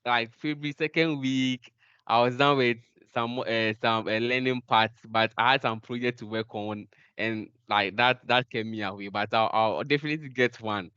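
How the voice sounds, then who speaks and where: A man's voice: mid-range voice, steady tone, bright, forceful tone, clean tone, no echo, highs cut off. A young man giving casual, hesitant replies as a student in an online workshop. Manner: hesitant, halting. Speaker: student ambassador taking part in workshop.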